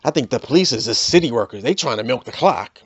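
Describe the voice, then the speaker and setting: A man's voice: medium pitch, lively intonation, quick pace, fairly bright tone, slightly rough, no room sound. Middle-aged man telling jokes, lo-fi, dry. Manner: storytelling with character voices and frequent "you know". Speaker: comedian host. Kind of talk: stand-up comedy monologue.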